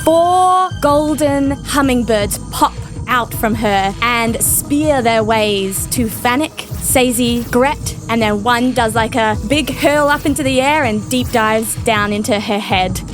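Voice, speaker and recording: A woman's voice: a little high, slow. A woman playing a tabletop game, describing her character's actions in playful, improvised storytelling. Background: background music.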